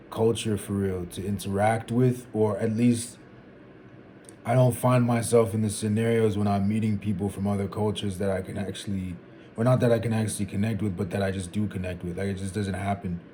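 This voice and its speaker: A man in his twenties thinking out loud in a casual, rambling vlog, restarting sentences and dropping "like" and "bro". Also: on the low side, a little hiss or hum. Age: 20s. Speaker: vlogger. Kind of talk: casual reflective monologue.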